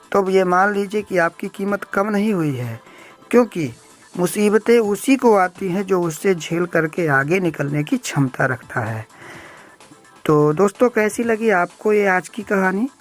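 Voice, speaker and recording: A woman hosting a story show, telling a moral tale and explaining it directly to listeners in short phrases. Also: mid-range voice. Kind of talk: spoken storytelling with a moral lesson, addressed to listeners. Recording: music bed under the voice. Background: background music.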